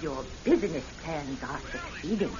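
A woman's voice: deep voice, very animated, moderate pace. An actress playing a kindly, fluttery elderly tearoom lady who tells fortunes, with a hesitant, exclaiming manner in classic radio drama style. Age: older. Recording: dull old radio, noisy crowd behind.

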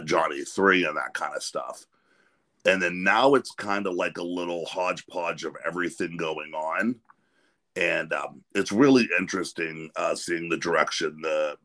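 Very deep, bright-voiced middle-aged American man. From Pennsylvania, United States. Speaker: podcast host. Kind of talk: casual conversational interview.

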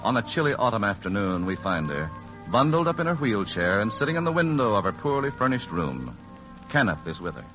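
A man's voice: on the low side, sing-song, brisk. A man narrating an old-time radio drama as its announcer. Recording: muffled old radio recording. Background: music.

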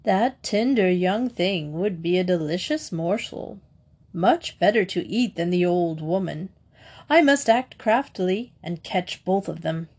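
A woman's voice: on the low side, animated, a little slow, darkish tone, husky, heavily acted. A woman voicing a crafty male wolf in a storybook, coaxing and falsely sweet. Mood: scheming. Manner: coaxing, scheming. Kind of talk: storybook character voice.

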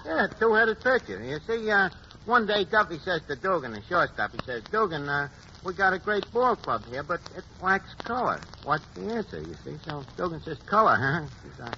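An actor playing a wisecracking New bartender who mangles words, telling a tall tale in a folksy, rambling way on a 1940s radio comedy show. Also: male voice, sits high, big pitch swings, normal speed, pushed and bright, full character voice. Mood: wry. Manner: folksy storyteller, drops in malapropisms and filler. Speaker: comic guest. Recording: noisy lo-fi 1940s radio broadcast.

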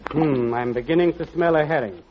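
A man's voice: a little high, fairly quick, a little hiss or hum, natural voice. An actor playing a flowery, over-polite male tenor singer in a 1940s radio comedy, in a dull old broadcast recording. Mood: wary. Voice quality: theatrical, over-polite continental manner.

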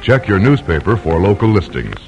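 A man's voice: low voice, sing-song, fairly quick, muffled recording. A man announcing in a formal, polished and emphatic 1950s radio style, on an old broadcast recording. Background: orchestral music.

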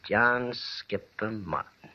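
A man's voice: fairly low pitch, slow pace. An actor playing a mock-polite, menacing gunman and debt collector with a nasty voice, in old-time radio drama style. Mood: smirking menace. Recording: muffled old radio recording.

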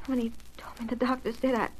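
An actress playing a young rancher's daughter, stubborn but frail, speaking haltingly in an old radio western. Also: female voice, fairly high pitch, little pitch movement, slight background noise, close to an everyday voice, poor audio. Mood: weak, confessing. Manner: hesitant, halting, repeating words.

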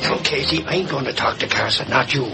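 A touchy, blustering lug from an old radio show, played by a male actor. His medium-pitched male voice grumbles and bosses in rough grammar. Music is clearly heard on the vintage recording. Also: fast talker, natural voice. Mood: irritated, blustering. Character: blustering, touchy, dim lug giving orders. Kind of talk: 1940s radio drama acting.

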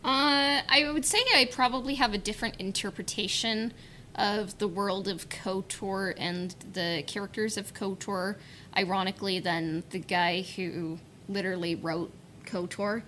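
A woman co-hosting a podcast, talking in an informal, halting, slightly wry way. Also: steady tone, bright, forceful tone. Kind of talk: casual chat. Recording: noticeable low rumbling background noise.

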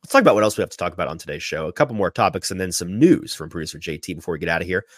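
A man hosting a sports talk podcast, sniffly from a sinus infection, animated and often repeating his first words as he starts a thought. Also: low voice, very fast, no echo, studio quality. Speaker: podcast host.

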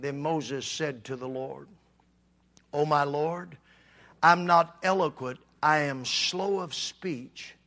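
A man preaching a sermon, swinging from quiet talk to loud, shouted passages. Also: a little high, gravelly. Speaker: pastor.

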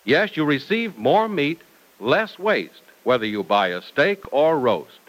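Casting: a male radio-era announcer, emphatic sales pitch, very lively intonation. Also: takes it slowly, rough recording.

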